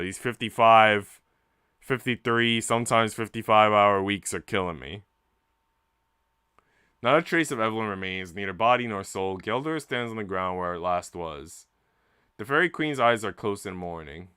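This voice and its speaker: A man's voice: lowish voice, sharp tone, clear, resonant tone, no room sound, studio quality. A man streaming, reading a visual novel aloud and chatting casually with his audience. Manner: informal, slangy when chatting.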